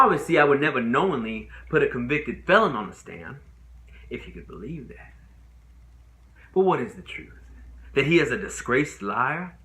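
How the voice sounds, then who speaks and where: Young male trial lawyer, played by a man, courtroom monologue. Earnest and pleading, emphatic, with a sharp edge and very lively intonation. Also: medium pitch. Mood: earnest, questioning. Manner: deliberate, emphatic, with dramatic pauses. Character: young male Southern trial lawyer giving an impassioned, pleading closing argument. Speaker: amateur actor. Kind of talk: dramatic monologue, courtroom closing argument.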